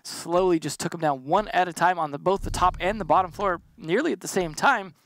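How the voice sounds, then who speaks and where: A man with a slightly high voice working as the color commentator on a competitive shooter broadcast. He breaks down positioning and fights calmly and analytically, with a dry wit. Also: a little fast, gravelly, slight background noise. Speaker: esports analyst.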